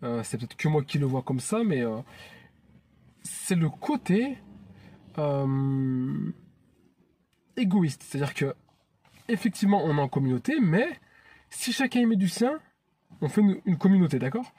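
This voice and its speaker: A man's voice: on the high side, very animated, unhurried, long pauses, dark tone, breathy edge. A man in his thirties from France, hosting his own channel in an earnest, chatty vlog monologue that often restarts phrases. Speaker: YouTube channel host.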